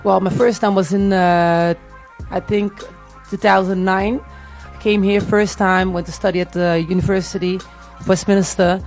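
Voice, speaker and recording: Creaky-voiced Dutch woman chatting. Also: mid-range voice, little pitch movement, normal speed, darkish tone, soft background music. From Netherlands, grew up in Tilburg. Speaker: music artist, interview guest. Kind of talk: casual radio interview. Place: radio studio.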